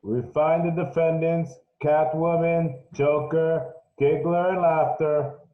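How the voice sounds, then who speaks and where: Brief: man as a curt crooked foreman, slow, roomy muffled recording. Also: a little high, steady tone. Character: gruff mustached jury foreman, crook in disguise.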